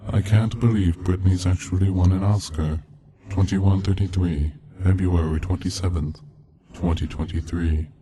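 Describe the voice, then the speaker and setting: A man reading a scripted eerie story as narrator, in a measured, solemn, deadpan way. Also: low-pitched, slightly rough. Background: background music from game soundtrack.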